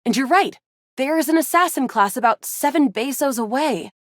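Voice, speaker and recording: High, animated sci-fi game heroine. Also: female voice, moderate pace, no echo, studio quality. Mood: brisk, playful. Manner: animated, dramatic, playful banter. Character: feisty, excitable young woman sci-fi resistance fighter and adventurer. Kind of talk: video game character voice acting.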